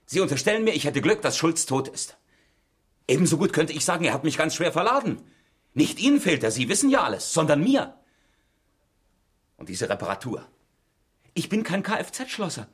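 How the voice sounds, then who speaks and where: An agitated suspect in a radio crime drama, his husky voice high and swinging widely. He is indignant and defiant, defending himself with heavy emphasis. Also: big pitch swings, moderate pace, long pauses. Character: agitated, defiant male murder-case suspect protesting his innocence. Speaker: suspect under police questioning. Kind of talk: 1970s German radio crime drama acting.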